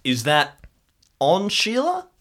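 A man's voice: sits high, wildly swooping pitch, slow pace, a bit bright. A man playing in an improvised audio drama, casually describing his character's actions. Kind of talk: casual improvised roleplay, narrating own character.

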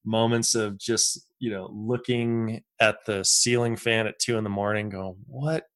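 A man in his fifties hosts a casual conversation, musing aloud in a loose, reflective way. His voice is on the low side, and he laughs easily between thoughts. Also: fairly even intonation, a little slow, a bit bright, smooth. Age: 50s. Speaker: podcast host. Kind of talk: casual interview chat.

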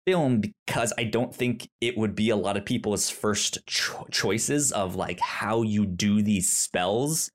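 A man's voice: fairly low pitch, takes it slowly, few pauses. A man co-hosting a casual film podcast, stuttering on first sounds and restarting often as he searches for words. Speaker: podcast co-host.

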